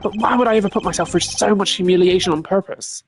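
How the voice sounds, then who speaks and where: A man voicing a whiny, excitable, lovestruck young man, a hapless murder suspect in a video game, blustering and indignant. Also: sits high, fast talker, pitched above the performer's own voice. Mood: aggrieved. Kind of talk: hammy video game dub.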